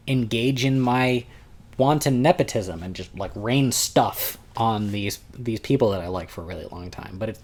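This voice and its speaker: A young man with a loud voice telling a long, rambling convention story at home, often restarting his sentences. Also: medium pitch. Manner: rambling, self-interrupting, chatty. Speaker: vlogger recounting a convention. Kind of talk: casual storytelling vlog.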